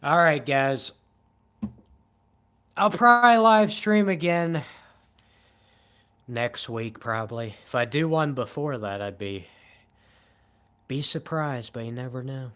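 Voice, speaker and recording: A man in his twenties chatting casually on a late-night livestream in a flat, monotone, low-key way. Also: takes it slowly, long pauses, clear, resonant tone. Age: 20s. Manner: monotone, calm and low-key, says he shows little emotion. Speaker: livestream host.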